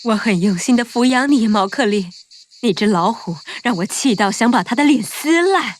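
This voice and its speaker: A woman's voice: a little high, animated, normal speed, slight background noise, close to an everyday voice. An actress playing a protective, loving mother wolf, tender but resolute, in a children's cartoon story. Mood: fierce devotion.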